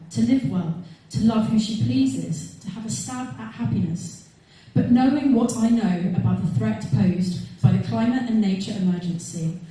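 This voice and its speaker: A woman's voice: mid-range voice, warm tone. A woman with a southern English accent delivers an earnest, prepared campaign speech as an election candidate. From Wimbledon, London, England. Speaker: Green Party election candidate. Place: large community hall with audience. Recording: very echoey hall, distant mic.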